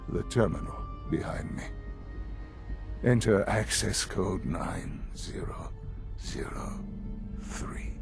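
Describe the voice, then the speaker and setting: An actor playing a weary, bitter old scientist near death in a game, gravelly and resigned. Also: male voice, sits low, heavily acted. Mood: weary, curt. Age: older. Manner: slow, resigned. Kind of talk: dramatic game cutscene acting. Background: music.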